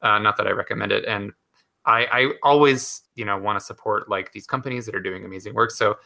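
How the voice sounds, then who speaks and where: A man's voice: on the low side, fairly even intonation, brisk, gravelly, bright, forceful tone. A man co-hosting a gaming podcast, talking casually and enthusiastically with many fillers. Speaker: podcast co-host. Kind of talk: casual chat.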